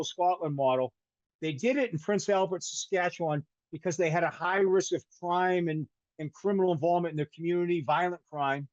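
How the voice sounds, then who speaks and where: An older man with a hard Boston accent presents over a webinar call, looping through restarts and self-corrections with a light, joking tone. His voice sits fairly high, and the sound is dry and muffled like a video call. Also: a little mellow, no echo. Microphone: video call microphone. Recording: dull webinar call audio.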